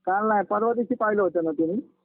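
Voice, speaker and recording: Very high-pitched young man on phone. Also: quick pace, dry recording. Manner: insistent, repetitive, coarse and blunt. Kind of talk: casual phone chat with a friend. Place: phone call. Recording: narrow, tinny phone call recording.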